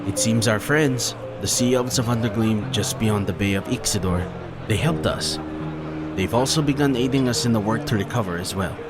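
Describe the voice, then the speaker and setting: Serious fantasy comrade done by a male narrator, lowish voice, measured, music underneath. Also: lightly acted. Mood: earnest. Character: earnest fantasy companion reporting war news. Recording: music behind the voice.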